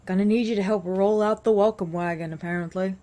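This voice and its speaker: A woman's voice: medium pitch, steady tone, fast talker, dark tone, close to an everyday voice. An actress as a sardonic woman survivor in a zombie drama. She is bossy and weary, and her words come out dry and clipped with authority. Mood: dry, curt. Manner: curt, dry, commanding. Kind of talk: scripted audio drama acting.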